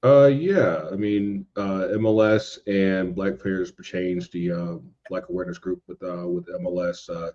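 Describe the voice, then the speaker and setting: A man chatting casually as a podcast guest, halting and circling back over his phrases. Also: deep voice, fairly even intonation, warm tone, lo-fi sound. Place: indoors at home in a city.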